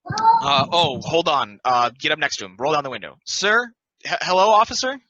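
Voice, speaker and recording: A man playing an excitable, earnest young videographer who chases games, in an amateur play reading. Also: on the high side, moderate pace, no room sound, voice pushed up, heavily acted. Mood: excited, earnest. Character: excitable young male storm-chasing game videographer. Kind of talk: amateur play table read. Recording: dry, dull lo-fi audio.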